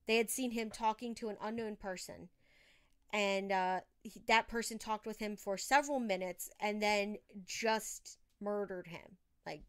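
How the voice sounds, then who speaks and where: Female true crime host, unhurried, smooth clear voice, conversational. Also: medium pitch. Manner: informal, conversational, emphatic asides. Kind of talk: casual true crime storytelling.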